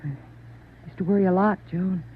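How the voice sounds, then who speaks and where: A woman's voice: on the low side, steady tone, normal speed, breathy edge. An actress playing a stoic, grieving policeman's widow, speaking with solemn restraint in a 1940s radio drama. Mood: subdued grief. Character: stoic, grieving young policeman's widow, gentle but resolute. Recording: tinny, faintly noisy old radio recording.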